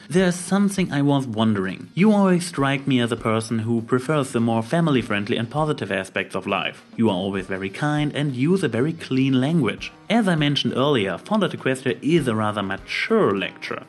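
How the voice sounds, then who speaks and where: A man's voice: medium pitch, lively intonation, a little fast, hardly any pauses. Man, mid-range voice, review host, scripted narration, faint music underneath.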